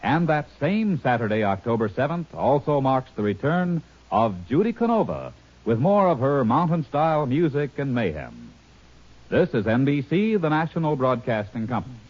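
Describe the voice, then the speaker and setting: A man's voice: mid-range voice, very animated, normal speed. A man reading a scripted 1950s radio promo as a network announcer. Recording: muffled vintage radio recording.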